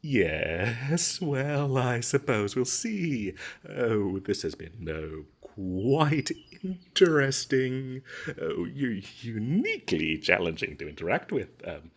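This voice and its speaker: A man playing a nervous captured adventurer in a comedic fantasy roleplay, polite and halting, trying to bluff and placate. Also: medium pitch, very animated, exaggerated voice. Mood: sheepish, placating.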